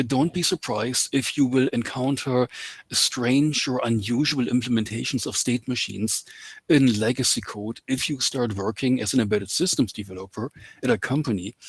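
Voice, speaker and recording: Husky, hesitant man lecturing on a video call. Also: mid-range voice, steady tone, moderate pace, no room sound. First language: not English. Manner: hesitant, many ums and uhs. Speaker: guest lecturer. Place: home in Canada.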